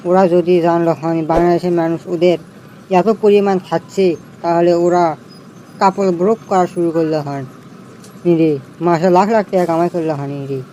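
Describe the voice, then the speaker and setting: A man voicing an anxious, excitable young village man in a comedy cartoon, with a northern Bangladeshi accent. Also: a little high, slight background noise, lightly acted, low-quality recording. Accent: northern Bangladeshi regional. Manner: excitable, complaining, colloquial. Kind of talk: comic cartoon dialogue.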